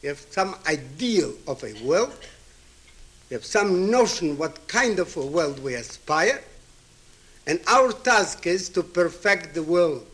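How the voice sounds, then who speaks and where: A man's voice: a little high, very animated, slow pace, fairly bright tone. A man in his seventies from Ukraine, a native Hebrew and Yiddish speaker, giving a reflective public address in an emphatic way, with rhetorical questions and repetition. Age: 70s.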